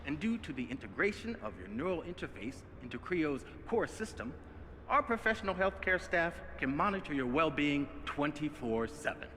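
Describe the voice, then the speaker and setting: An actor playing a slick, upbeat male corporate spokesman who presents in a polished, flattering, salesmanlike way. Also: on the high side, moderate pace, long reverb tail, slight background noise.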